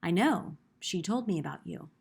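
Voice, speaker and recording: Storybook woodcarver, big fatherly man read by a woman; gentle, thoughtful, unhurried, reassuring. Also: fairly low pitch, expressive, creaky, breathy edge, exaggerated voice. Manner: slow, soft, thoughtful.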